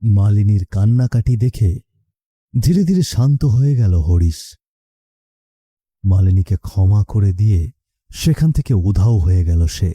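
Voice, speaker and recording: A man's voice: fairly low pitch, animated, unhurried, pauses often, mellow, breathy edge, no echo, clean studio sound. A man narrating a scripted story in a dramatic storytelling style.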